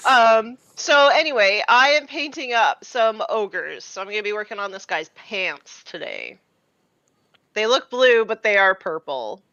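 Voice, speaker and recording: A woman co-hosting a casual hobby chat show, joking and laughing often, with halting starts. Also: medium pitch, animated, pushed and bright, no room sound, muffled recording. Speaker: co-host.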